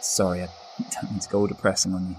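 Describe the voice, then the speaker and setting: An actor playing a self-deprecating, sheltered young exchange student with an English accent, joking and apologetic, in a radio drama. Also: male voice, sits low, completely flat delivery, fast, darkish tone, slight background noise, close to an everyday voice, poor audio. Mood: wistful, frustrated.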